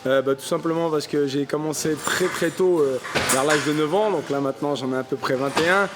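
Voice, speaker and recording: A man's voice: on the high side, fairly quick, noisy background. A man in his thirties from the Paris region, a Thai boxing club co-founder giving interview answers in an explanatory way, with many fillers and restarts. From Paris region, France. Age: 30s.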